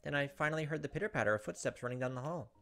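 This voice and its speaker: A man's voice: fairly high pitch, expressive, very fast, gravelly, fairly bright tone. A man, reading visual novel narration aloud with asides into a close microphone. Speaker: let's play host. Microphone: close desk microphone. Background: game music.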